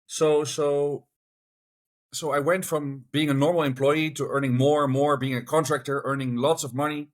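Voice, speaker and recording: A man's voice: fairly high pitch, flat delivery, normal speed. Chatty man telling his story. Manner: chatty storyteller, acts out quoted dialogue. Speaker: podcast guest. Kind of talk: casual video-call interview.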